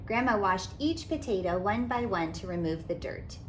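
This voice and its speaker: A woman hosting a read-aloud as a children's author, narrating a picture book in a deliberate, animated way for young children. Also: medium pitch, heavy background noise. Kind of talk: expressive children's storybook narration.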